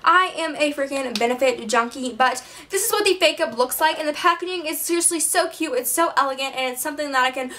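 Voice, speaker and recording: A teenage girl chatting her way through a makeup tutorial, full of fillers and self-mocking asides, her voice a little hoarse. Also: high voice, fast talker, hardly any pauses, bright, forceful tone, slight background noise. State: slightly hoarse, losing her voice. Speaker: beauty vlogger. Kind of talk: casual makeup tutorial chat.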